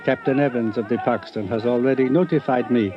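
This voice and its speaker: An actor playing a shrewd, imperious boat dealer, speaking formally and with measured coolness in a 1950s radio drama. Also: male voice, medium pitch, lightly acted. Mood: coolly haggling. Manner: formal, measured, haggling coolly. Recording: muffled vintage 1950s radio recording.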